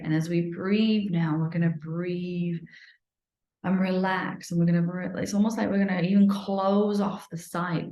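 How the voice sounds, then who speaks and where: A woman leads a guided meditation in a soothing, unhurried manner, slipping at times into near-whispers. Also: on the low side, mellow. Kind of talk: guided meditation, improvised live. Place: indoor room, live online video session. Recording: roomy, echoey sound.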